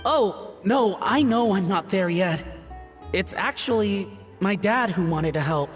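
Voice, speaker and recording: A woman's voice: medium pitch, animated, measured pace, in a large hall, faint music behind, lightly acted. A woman playing a modest, earnest young aspiring cook in a video game, speaking politely and deferentially. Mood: eager, modest.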